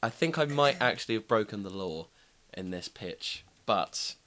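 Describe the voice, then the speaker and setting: A young man with a fairly low voice that sounds blocked up and congested. He talks with dry, deadpan humor on a comedy podcast. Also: moderate pace, a little hiss or hum. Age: young adult. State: congested, bunged up. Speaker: podcast panelist.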